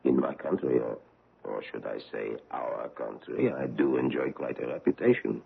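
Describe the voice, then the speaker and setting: A menacing European detective in a radio mystery. His voice is extremely low and gravelly, with animated swings in pitch. He speaks formally and deliberately, insinuating more than he says, over a muffled narrow-band broadcast. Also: full character voice. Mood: sly, probing. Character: menacing foreign government investigator hunting a woman from his homeland. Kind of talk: radio mystery drama acting.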